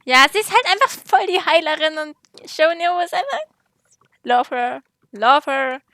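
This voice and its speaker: A woman's voice: high voice, expressive, normal speed, a bit bright, no room sound, clean studio sound. A woman giving casual, wry, teasing asides as she hosts a gaming video. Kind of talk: casual let's-play commentary.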